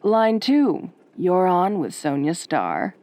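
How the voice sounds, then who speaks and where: A woman acting as a sardonic late-night radio DJ in a horror audio roleplay, soft-spoken and velvety with a dry, wry delivery. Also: on the low side, very animated, takes it slowly. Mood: smooth, condescending.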